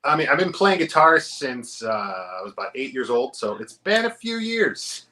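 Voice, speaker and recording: Upbeat male guest, bright and lively, laughs a lot. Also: mid-range voice, moderate pace. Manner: upbeat, self-deprecating, laughs easily. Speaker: guest musician and comedian. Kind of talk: casual interview chat.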